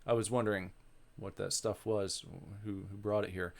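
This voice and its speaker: A man giving casual, deadpan, offhand commentary as he reads a visual novel aloud. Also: on the low side, moderate pace, gravelly. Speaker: host reading a game aloud.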